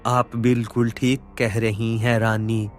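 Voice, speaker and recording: A man plays a stern cartoon king and father. His delivery is measured, even and slow, dismissive and commanding, over faint music. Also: medium pitch, close to an everyday voice. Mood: agreeing. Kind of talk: Hindi cartoon story dialogue.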